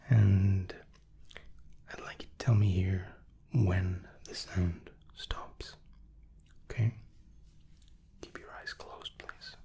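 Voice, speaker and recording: A man doing an ASMR medical roleplay in a soft, breathy whisper, slow, methodical and reassuring as he plays an examiner. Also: low voice.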